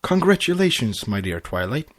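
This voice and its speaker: A man voicing a gracious, affectionate regal female pony mentor in a story, warm and formal. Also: mid-range voice, very animated, normal speed, close to an everyday voice.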